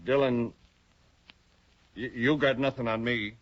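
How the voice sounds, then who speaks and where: A man's voice: mid-range voice, fairly even intonation, a little slow, muffled recording, natural voice. An actor playing a cornered, defiant gunman with a sneering manner in a 1950s radio drama. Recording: old radio broadcast, music under.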